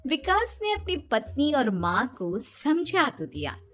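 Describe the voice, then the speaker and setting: Bright woman narrating a children's story. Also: high voice, huge pitch swings, slow pace, soft background music.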